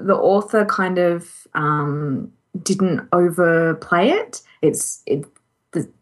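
Lower-pitched woman chatting on a podcast. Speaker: podcast co-host. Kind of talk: casual chat, giving recommendations.

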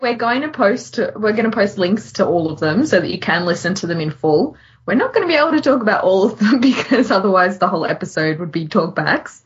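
Giggly woman co-host, mid-pitched. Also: animated, brisk, hardly any pauses, highs cut off, a little hiss or hum. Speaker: podcast co-host. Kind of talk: casual podcast chat.

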